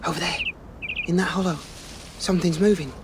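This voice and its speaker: A man's voice: high-pitched, fairly even intonation, brisk, noisy background, lightly acted. An actor playing an alert, curious and encouraging male rabbit in a radio drama. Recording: loud outdoor birdsong and ambience.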